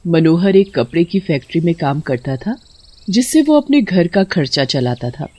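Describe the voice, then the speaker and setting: A woman's voice: on the low side, very animated, normal speed, mellow, dry recording. A woman reading a scripted story as narrator.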